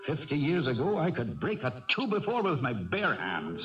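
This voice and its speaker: A man's voice: medium pitch, huge pitch swings, moderate pace, distant mic, a little hiss or hum. An actor playing a small, genial Irish ex-jockey in his fifties in a 1970s radio drama, with folksy, lilting blarney. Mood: warm, persuasive. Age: 50s. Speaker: character narrator. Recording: muffled old radio broadcast with music.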